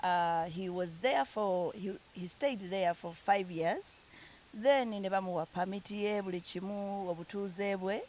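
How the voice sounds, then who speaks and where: A woman's voice: mid-range voice, unhurried, a little mellow, a little hiss or hum. A woman from Uganda who grew up in Denmark, chatting easily as an interview guest and switching between languages. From Uganda, raised in Denmark. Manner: mixes English and Luganda, easygoing, laughs often. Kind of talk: casual interview chat.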